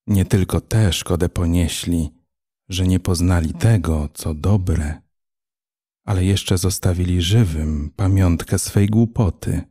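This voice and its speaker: Slow, dark-voiced man reading solemnly. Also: sits low. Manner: grave, deliberate. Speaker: reader of the introduction.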